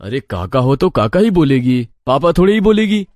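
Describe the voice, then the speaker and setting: A man voicing plain-spoken, excitable young village men in a moral story. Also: medium pitch, normal speed, no echo, clean studio sound, natural voice. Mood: annoyed, brisk. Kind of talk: animated moral story dialogue.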